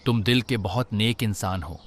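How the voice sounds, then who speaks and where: A man voicing a calm, stern talking rabbit who is a reborn saint, in a solemn, measured way for a Hindi cartoon. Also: on the low side, slight background noise, close to an everyday voice. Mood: earnest. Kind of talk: Hindi animated moral story dialogue.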